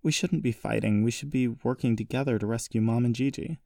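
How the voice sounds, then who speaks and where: A man's voice: medium pitch, a little fast, vocal fry, dark tone, clean studio sound, pitched above the performer's own voice, lightly acted. A man voicing an upset, defiant young woman who speaks emphatically in audiobook dialogue. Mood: earnest, pleading. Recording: roomy echo, distant mic.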